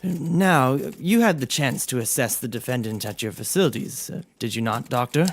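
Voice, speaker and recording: A man's voice: medium pitch, animated, normal speed. A man playing a formal, accusing hotshot prosecutor questioning in court in a scripted audio comedy.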